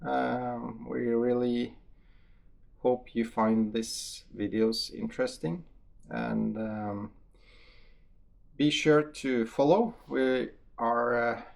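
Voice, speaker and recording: A man with a Norwegian accent, a native Norwegian speaker, giving a halting, matter-of-fact casual review of hunting bullets. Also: medium pitch, steady tone, creaky. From Norway. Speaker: hunter testing bullets.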